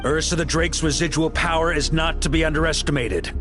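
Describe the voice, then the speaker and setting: Casting: male actor as brash fantasy-game fighter; sardonic, rousing, voice pitched a bit high, over prominent music. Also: fairly even intonation, brisk, natural voice. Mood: rallying, amused. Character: brash, sardonic male comrade rallying for battle. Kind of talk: video game voice acting.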